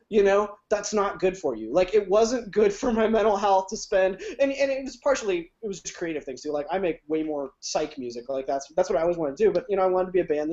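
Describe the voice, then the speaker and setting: Podcast guest: man from Ohio, high voice, rambling, self-interrupting delivery on muffled audio. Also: a little mellow. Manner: rambling, restarts often, laughs easily. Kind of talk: casual chat.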